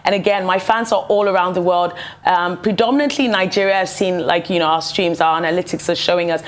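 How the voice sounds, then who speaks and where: Articulate Ghanaian-born woman, low-pitched. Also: steady tone, fast talker. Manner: articulate, fluent with filler "you know". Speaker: musician guest. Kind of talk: interview answers. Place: television studio.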